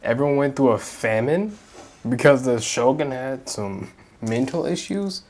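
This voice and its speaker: A man's voice: medium pitch, animated, unhurried, a little mellow. Casual young man doing let's-play commentary. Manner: informal, slangy reactions. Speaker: gaming YouTuber.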